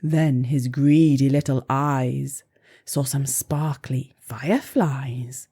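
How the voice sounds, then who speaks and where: A woman's voice: extremely low pitch, takes it slowly, mellow, breathy edge. A woman reading a rhyming children's storybook aloud in a playful, theatrical way. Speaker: storybook reader.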